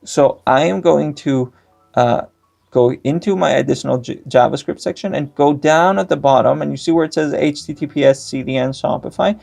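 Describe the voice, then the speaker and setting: A man's voice: fairly high pitch, normal speed, gravelly, a little mellow. A young man whose first language is Greek, giving a step-by-step screen-recorded tech tutorial with frequent filler sounds. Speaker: tutorial host.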